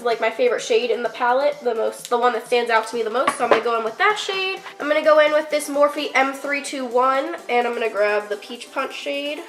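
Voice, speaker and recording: A woman's voice: high voice, fairly bright tone. A young woman with a congested, cold-stuffed voice, chatting casually through a makeup tutorial with lots of fillers and the odd curse. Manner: chatty, filler-heavy, offhand swearing. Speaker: beauty vlogger.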